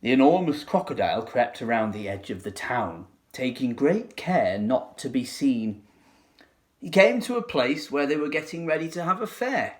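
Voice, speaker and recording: A man narrating a children's storybook expressively, with dramatic, deliberate phrasing. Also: medium pitch, sing-song. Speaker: teacher reading to pupils.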